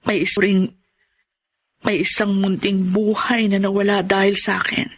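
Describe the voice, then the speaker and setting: A woman acts out a forgiving elder sister in a Filipino radio drama. Her medium-pitched voice is husky, and she speaks with earnest, deliberate emotion, as a wife who has known grief. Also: flat delivery, natural voice. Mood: tearful, reflective.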